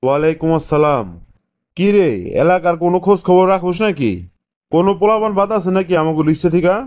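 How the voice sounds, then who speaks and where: A man's voice: high-pitched, quick pace, a little mellow, close to an everyday voice. A man voicing a domineering, calculating party leader in a cartoon, commanding in colloquial Bangladeshi speech. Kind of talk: cartoon dialogue.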